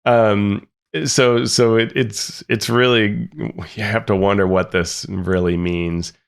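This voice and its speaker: Man, podcast co-host, gravelly, lowish voice, casual chat. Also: flat delivery, slow. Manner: reads quotes aloud then comments, many restarts.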